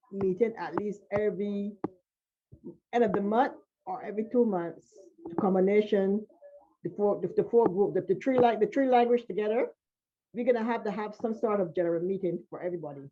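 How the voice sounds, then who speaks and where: A woman's voice: medium pitch, a little fast. A woman in a group discussion making a practical suggestion, direct and repeating herself to land the point. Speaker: meeting participant. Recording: dull lo-fi recording.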